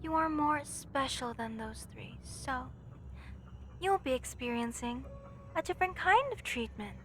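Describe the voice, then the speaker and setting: A woman playing an obsessed, lovesick mortician in a horror audio roleplay, speaking tenderly and deliberately with sweet menace. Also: sits high, animated. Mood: loving menace. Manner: slow, tender, deliberate, theatrical. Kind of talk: horror roleplay monologue addressed to listener.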